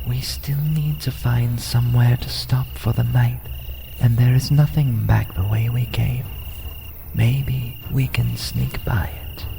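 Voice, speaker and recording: A man's voice: medium pitch, steady tone, slow, vocal fry, dark tone, a little hiss or hum. A man acting a wary, serious archer who leads adventurers in a fantasy audio drama, with a cautious manner. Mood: hushed, cautious. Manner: cautious, reluctant.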